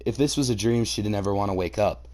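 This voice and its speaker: A man doing a comedic dramatic reading of fan fiction, theatrical and profane, breaking into incredulous high-pitched outbursts. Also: fast talker, darkish tone.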